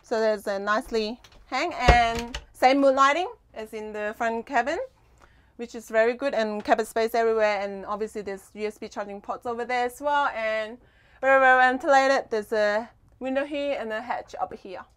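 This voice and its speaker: Higher-pitched young woman giving a tour. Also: normal speed, a bit bright, clear, resonant tone. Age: young adult. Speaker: boat tour host. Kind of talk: casual guided tour narration. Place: inside a small sailboat cabin.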